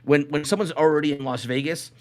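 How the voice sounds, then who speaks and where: A man's voice: on the high side, steady tone, quick pace. A man co-hosting a podcast in casual banter, rambling along and often repeating his phrases. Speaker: podcast co-host.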